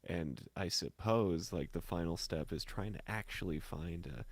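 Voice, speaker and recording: A man's voice: deep voice, normal speed, no room sound. A man who works as a musician and voice actor, talking casually and reflectively as an interview guest.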